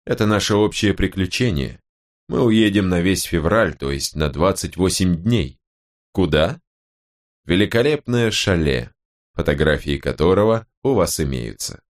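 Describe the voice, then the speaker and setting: Man as a vain, courteous old gentleman, lively intonation. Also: sits low, unhurried. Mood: inviting. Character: courteous, self-assured old male letter writer, vain. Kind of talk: audiobook reading of a character's letter.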